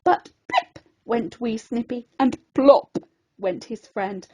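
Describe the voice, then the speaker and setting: A woman storyteller reading a rhyming children's bedtime story in an expressive, theatrical and deliberate way. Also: on the high side, slightly rough, highs cut off.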